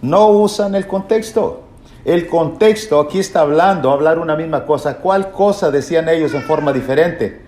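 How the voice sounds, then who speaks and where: A man's voice: fairly high pitch, moderate pace, a little mellow. A man preaching a Bible lesson in an emphatic, didactic way, posing rhetorical questions and repeating key phrases. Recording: echoey room, faint background noise.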